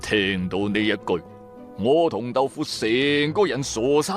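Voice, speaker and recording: A man's voice: on the low side, lively intonation, measured pace, soft background music. An actor with a Cantonese accent playing a streetwise, wry businessman turned tomb raider, narrating in colloquial first person for a radio drama. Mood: amused, exasperated. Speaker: narrator and main character of an audio drama.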